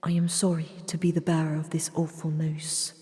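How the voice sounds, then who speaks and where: A woman playing a calm, grave doctor in an audio drama, speaking in a measured, composed way with breathy asides and sighs. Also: sits low, close to an everyday voice. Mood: sombre, measured. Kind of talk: scripted audio drama acting. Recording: long hall-like reverb.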